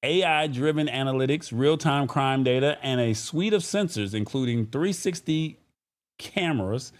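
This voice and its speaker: A man's voice: mid-range voice, normal speed. Casual man hosting a live show. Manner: informal, conversational, addresses viewers directly. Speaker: show host. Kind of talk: casual live-stream talk show, reading viewer comments.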